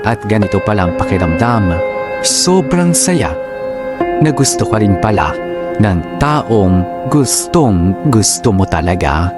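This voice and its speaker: Low-voiced man narrating over music. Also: big pitch swings, slow pace. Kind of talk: scripted first-person love-story narration.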